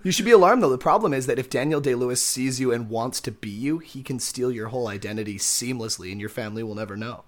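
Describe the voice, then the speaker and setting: A man's voice: medium pitch, fast, hardly any pauses. A man co-hosting a casual comedy podcast, riffing in mock-earnest banter with some swearing. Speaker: podcast co-host.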